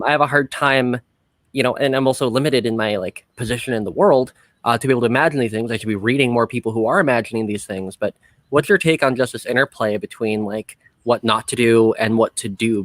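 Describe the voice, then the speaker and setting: A man's voice: mid-range voice, no room sound. Male podcast host, dry close sound. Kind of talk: casual podcast discussion.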